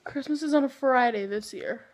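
A woman's voice: sits high, moderate pace. A sixteen-year-old girl chatting casually into her phone camera for a vlog, giggly and slangy with lots of fillers. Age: teenager, 16. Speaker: vlogger. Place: outdoors in a cold yard, then a restaurant, then a bedroom. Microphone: phone or webcam held close.

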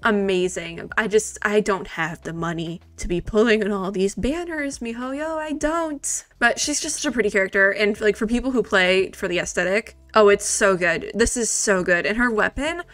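A woman giving casual, gushing reaction commentary, rambling excitedly and peppering it with "like". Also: mid-range voice, normal speed, hardly any pauses, no echo. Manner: excitable, rambling, heavy use of "like". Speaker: video creator reacting to a game trailer.

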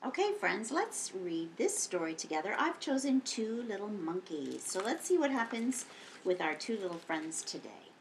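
A woman in her fifties hosting a storytime for young children as a librarian, reading aloud in an exaggerated, expressive way. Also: mid-range voice, moderate pace, a little hiss or hum, poor audio. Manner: animated, exaggerated, with audible gasps and hushed whispers. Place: indoor lounge, seated on a couch.

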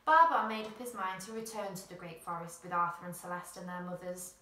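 A woman's voice: fairly low pitch, steady tone, a little fast, pushed and bright, a little hiss or hum. A woman, reading a children's picture book expressively in a small room. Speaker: storyteller. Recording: roomy, slightly distant mic.

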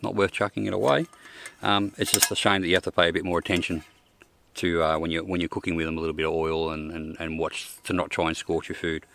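An older man with an Australian accent talks to the camera in a deep, low voice, standing outdoors in open bushland. He reviews his camping gear in a plain, unpolished way, full of ums and restarts. The recording carries a faint hiss of background noise. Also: vocal fry. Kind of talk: casual gear review vlog.

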